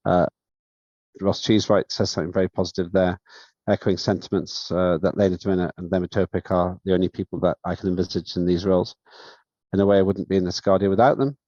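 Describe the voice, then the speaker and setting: A man with a deep, low voice chairs a parliamentary session, speaking in a measured, halting way and pausing often on fillers. His voice comes through a video call microphone. The sound is dull, lo-fi and very dry. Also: level tone, mellow, dry recording. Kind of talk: formal remarks at an online session.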